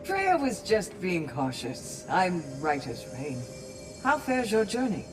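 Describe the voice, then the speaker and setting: A composed, curious adventurer of myth in a video game. The voice is fairly high and very animated, sounding sure and loyal. Music is clearly audible behind it. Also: male voice, slow, full character voice. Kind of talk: dramatic video game acting.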